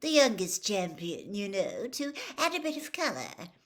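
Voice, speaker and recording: A woman narrator acts out a middle-aged female tabloid reporter from a storybook. She is gushing and falsely sweet, yet brisk and pushy. Her pitch swoops up and down as she coaxes and probes. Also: sing-song. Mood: coaxing.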